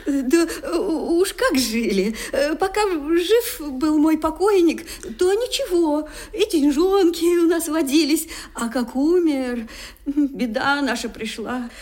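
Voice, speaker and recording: Radio play a poor old stepmother, a scheming widow who flatters her betters. Her voice is high, and she wheedles and laments in a plaintive, folksy way. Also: takes it slowly. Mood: lamenting. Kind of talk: Russian radio drama acting.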